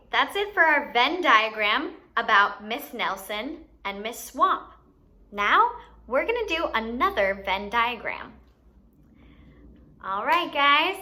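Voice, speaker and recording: Bright, very lively woman teaching young children. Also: fairly high pitch, slow. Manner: simple explanations with frequent questions to the listener. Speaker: children's teacher and show host. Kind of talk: children's lesson addressed to young viewers.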